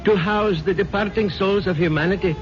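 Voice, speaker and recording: An actor playing an impressive, solemn spiritual preacher, delivering grandiose measured oratory in a 1970s radio drama. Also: male voice, high voice, over music, natural voice. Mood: solemn, exalted. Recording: dull 1970s radio broadcast recording.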